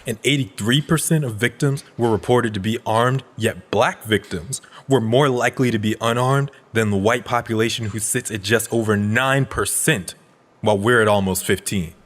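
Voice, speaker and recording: An actor playing a calm, community-minded young man in an audio drama, measured, analytical and sincere. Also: lowish voice, long reverb tail. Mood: earnest.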